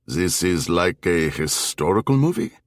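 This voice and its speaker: Man doing a deep-voiced middle-aged German bartender. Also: sing-song, unhurried, no echo, clean studio sound. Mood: curious. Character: middle-aged, barrel-chested German bartender, mild and curious. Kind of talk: audiobook character dialogue.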